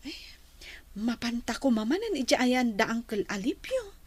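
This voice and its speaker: Casting: chatty young village vendor, very high voice, Ilocano accent, radio drama banter. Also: female voice, very animated, moderate pace, a little mellow, husky. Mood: defensive banter. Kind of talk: Filipino radio drama acting.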